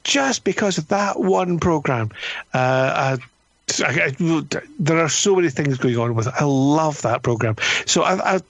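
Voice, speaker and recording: A man's voice: on the high side, animated, a little slow, gravelly, no room sound, muffled recording. Man reviewing in a dry studio sound. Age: 50s. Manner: enthusiastic, emphatic. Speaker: radio critic giving a review. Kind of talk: conversational spoken review.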